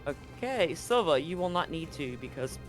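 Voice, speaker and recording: A man's voice: a little high, big pitch swings, moderate pace, soft background music. A man running a live tabletop roleplaying game as game master, talking casually and swearing freely.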